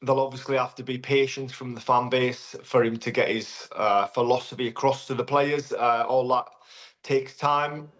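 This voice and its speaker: A man, a former footballer, giving a relaxed interview with some fillers. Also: medium pitch, moderate pace. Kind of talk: interview clip.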